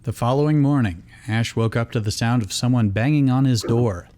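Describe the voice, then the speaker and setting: A man's voice: mid-range voice, a little fast, mellow. A man reading fan fiction aloud as host, in story narration mixed with casual asides.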